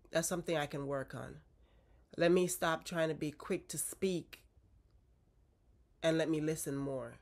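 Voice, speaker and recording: A woman in her forties, stuffy from a cold, giving direct, coaching relationship advice to her viewers and checking in with a frequent "okay?". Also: low voice. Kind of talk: casual advice talk to viewers.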